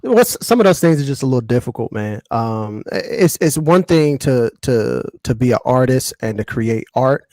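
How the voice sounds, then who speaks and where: A man's voice: medium pitch, expressive, normal speed, hardly any pauses, darkish tone, no echo, clean studio sound. A man in his forties with an American accent in casual podcast panel chat, speaking in slang and repeating himself for emphasis.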